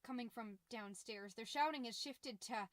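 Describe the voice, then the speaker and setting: A woman's voice: fairly high pitch, moderate pace, no room sound, lightly acted. A woman playing a middle-aged, folksy, fretful suburban mom who hosts a confiding, rambling comedy podcast with anxious over-cheerfulness. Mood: anxious, hushed. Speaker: podcast host.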